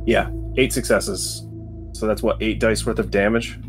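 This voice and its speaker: A man's voice: on the low side, fairly even intonation, unhurried. A man chatting casually as a player in a tabletop game, joking and swearing. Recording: background music under the voice.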